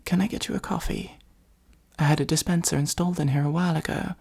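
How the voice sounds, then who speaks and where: Supervillain for ASMR roleplay, acted by a performer: soft, dark and creaky, breathy near-whisper, pompous monologuer with sardonic asides. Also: mid-range voice, steady tone, quick pace. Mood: wry, concerned. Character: theatrical gloating supervillain, secretly fond of the hero.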